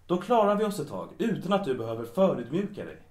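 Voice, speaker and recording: A man's voice: on the high side, sing-song, darkish tone. A man playing a hot-headed, protective young art student in an audio drama, emotional and protesting. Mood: worried. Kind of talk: Swedish audio drama acting. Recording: roomy, echoing interior sound.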